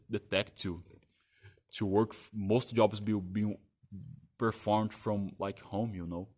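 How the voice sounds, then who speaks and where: A man whose first language is Portuguese, talking casually about his work history in a halting way with many restarts and fillers. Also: fairly low pitch, no echo. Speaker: vlogger telling his career story. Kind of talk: casual spoken monologue.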